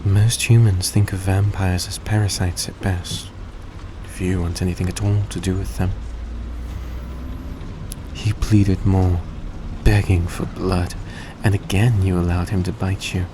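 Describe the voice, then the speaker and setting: A man playing a suave, tender centuries-old vampire in a roleplay audio drama, speaking in a soft, coaxing, genteel way to the listener. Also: deep voice, a little slow. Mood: solemn storytelling. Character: suave, gracious centuries-old male vampire, young-looking, tender and grateful. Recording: steady background noise, vehicle-like ambience.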